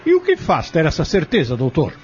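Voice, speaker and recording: A man's voice: on the high side, huge pitch swings, brisk. A man acting a cornered defendant in an audio drama, defiant and argumentative as he feigns innocence. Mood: indignant, accusing. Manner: argumentative, rhetorical, insistent. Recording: muffled lo-fi audio with music.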